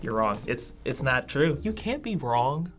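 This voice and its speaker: A man's voice: medium pitch, very animated, a little slow, fairly bright tone, a little hiss or hum. A man of twenty-one with an American accent, reacting casually and emphatically, as if personally aggrieved. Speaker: college student reacting on a show. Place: small indoor studio set. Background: faint music.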